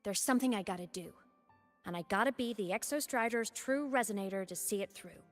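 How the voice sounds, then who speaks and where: A woman's voice: medium pitch, brisk, faint music behind, natural voice. A woman voicing a playful, teasing digital ghost girl in a video game, breezy and casual but determined. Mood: wistful, breezy. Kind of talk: video game story voice acting.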